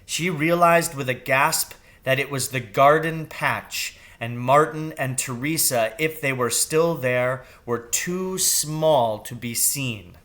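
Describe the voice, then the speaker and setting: A man reading a children's novel aloud as expressive narration, with measured and deliberate phrasing. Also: medium pitch. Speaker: storybook reader.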